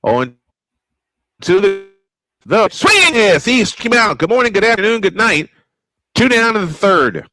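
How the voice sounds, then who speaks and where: Male sportscaster, higher-pitched, bright forceful tone, old-school baseball commentary, folksy asides, clipped calls. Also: big pitch swings, measured pace, pauses often, no echo. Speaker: baseball play-by-play broadcaster.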